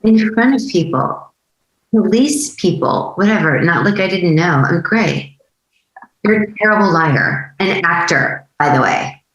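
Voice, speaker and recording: An actress playing a brazen, sarcastic movie star's wife with a blunt, dry manner in a scripted table read. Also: female voice, fairly low pitch, animated, a little slow. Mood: scornful, smug. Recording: roomy, echoing sound.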